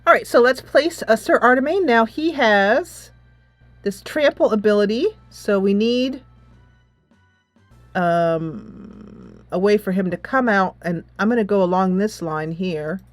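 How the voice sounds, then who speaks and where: A woman's voice: mid-range voice, measured pace, no echo, faint music behind. A woman gaming host giving casual commentary, thinking aloud as she plays a tactics game.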